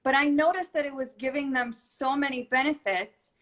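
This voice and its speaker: A woman's voice: high-pitched, moderate pace, fairly bright tone. A woman born in Serbia, an English teacher, answering interview questions fluently and earnestly over a remote call.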